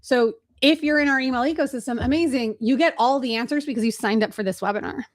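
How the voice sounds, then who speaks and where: Higher-voiced female webinar host from Chicago; fast, direct, energetic, casual with filler words. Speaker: webinar host and agency trainer. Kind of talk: live webinar teaching.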